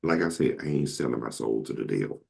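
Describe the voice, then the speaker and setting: Male talk show host, deep and creaky, casual comic storyteller with a slangy, joking delivery. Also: fast. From southern United States. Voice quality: deep, carrying voice. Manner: joking, animated quoting of others, slangy.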